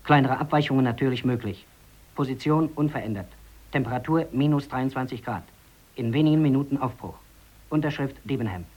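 A man's voice: medium pitch, fairly quick, a little hiss or hum, close to an everyday voice. Man playing formal expedition officer, dull-sounding recording. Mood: factual, confident. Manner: factual, telegraphic, formal. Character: male rescue expedition member reading a confident status report. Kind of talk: 1950s German radio drama, clipped report reading. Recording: dull, band-limited old radio sound.

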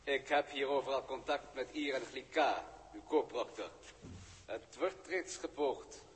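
A man's voice: medium pitch, a little slow, in a large hall, natural voice. An actor playing a deferential alien registrar who speaks politely and formally to his master, in an early 1960s radio drama. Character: deferential alien field registrar, adult man.

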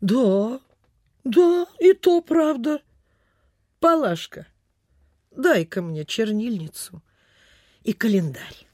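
A kindly, forgetful country landowner lady in old-fashioned radio theatre. Her voice is high and very slow, full of fussy sighs and pious exclamations, recorded close and dry. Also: lively intonation, darkish tone, no echo. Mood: laborious, fussy. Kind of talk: Soviet-style radio theatre acting.

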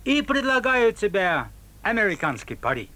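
An actor playing a rich, bossy tourist in a theatrical folk-tale audio play. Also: male voice, high-pitched, sing-song, normal speed, sharp tone, exaggerated voice. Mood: bargaining, bossy. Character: rich, bored, bossy American tourist man.

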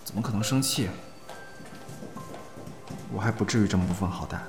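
A man's voice: fairly low pitch, fairly quick, echoey room, over music, close to an everyday voice. An actor playing a polite, bashful young male actor in a Chinese audio drama. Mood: soft, sincere.

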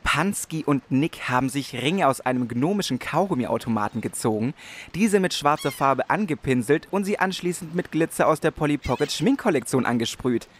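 A man's voice: on the high side, expressive, normal speed. A man playing a formal, wordy and easily indignant adventurer in a fantasy parody radio play. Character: courtly indignant male adventurer, tattling formally.

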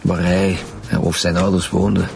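An actor playing a steady, authoritative police chief inspector in a radio drama, speaking naturally with a Flemish accent. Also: male voice, deep voice, a little slow, creaky, full character voice. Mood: matter-of-fact. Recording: music behind the dialogue.

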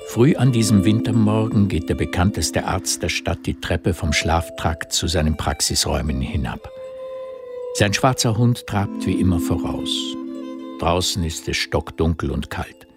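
A man's voice: sits low, expressive, measured pace, music in the background. A man narrating a literary radio drama in scripted, present-tense prose.

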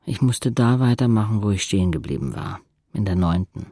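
Low, gravelly teenage boy narrator. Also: big pitch swings, fairly quick, mellow, dry recording, studio quality, full character voice. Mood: wry, laconic. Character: teenage boy narrator, wheelchair user, wry and observant. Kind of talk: first-person audio drama narration.

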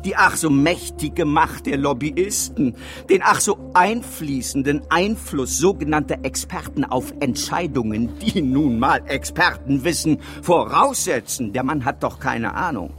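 A man's voice: on the high side, expressive, measured pace, a bit bright. An actor playing a domineering PR consultancy boss in a satirical radio drama, pompous and rhetorical as he briefs his team. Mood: indignant, scheming. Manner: pompous, rhetorical, piles up clauses. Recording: music behind the voice.

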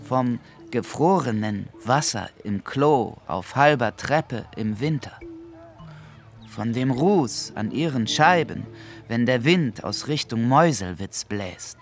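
Slow-spoken romantic gentleman. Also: mid-range voice, lively intonation, faint music behind. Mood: wistful, reflective. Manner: deliberate, reflective, dramatic pauses. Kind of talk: radio play acting.

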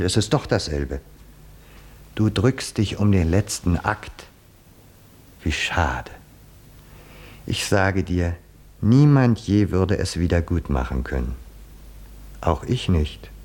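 Radio drama: stern, mocking inner mentor; low, husky voice, widely swinging intonation, insistent rhetorical admonishing. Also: male voice, darkish tone, heavily acted. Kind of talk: 1950s German radio play acting.

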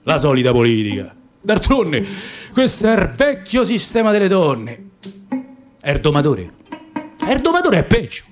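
A man's voice: sits high, huge pitch swings, unhurried, pauses often, faint music behind, exaggerated voice. A man voicing a gloomy, proud caged circus lion in radio theatre, grumbling resentfully with a Roman accent. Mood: bitter, fed up. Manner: brooding, grumbling complaint.

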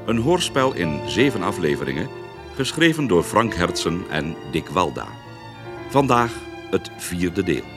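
Low-voiced radio play announcer over music. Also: male voice, very animated, unhurried. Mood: formal. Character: formal male radio drama announcer. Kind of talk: scripted title announcement.

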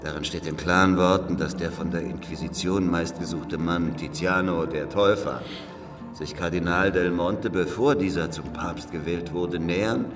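Actor as very deep-voiced, grave old spy. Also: male voice, steady tone, normal speed, distant mic, over music, natural voice. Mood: confiding, deliberate. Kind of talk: German historical radio drama acting.

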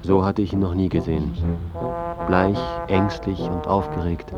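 Smug male critic-narrator for radio drama: low-pitched, glib, evasive, loud music underneath. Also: little pitch movement, moderate pace, highs cut off. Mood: weary, reflective. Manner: self-important, glib, evasive. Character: smug, scheming male art critic, self-important narrator.

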